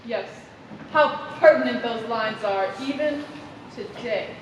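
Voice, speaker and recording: A woman's voice: a little high, takes it slowly. Actress as a grandiose literary lady, echoey theater. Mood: grand. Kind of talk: theatrical stage acting. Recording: echoey theater hall, far mic, faint noise.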